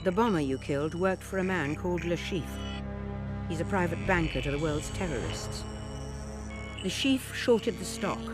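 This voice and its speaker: A woman playing an authoritative, clipped female intelligence chief in a video game drama. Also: fairly low pitch. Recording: music under the voice.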